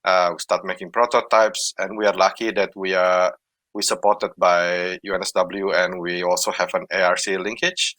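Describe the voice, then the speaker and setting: A man's voice: deep voice, little pitch movement, normal speed, sharp tone, dry recording. A man from Indonesia, a startup co-founder recounting his work on a panel. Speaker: startup co-founder and former university researcher. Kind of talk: panel talk, telling how his company began.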